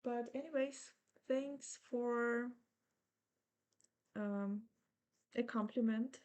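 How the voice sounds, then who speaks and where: A woman from Ukraine in her thirties, speaking English with a Ukrainian accent as she patiently talks through an embroidery tutorial step by step. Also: on the high side. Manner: slow, patient, gently reassuring instruction. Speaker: embroidery teacher.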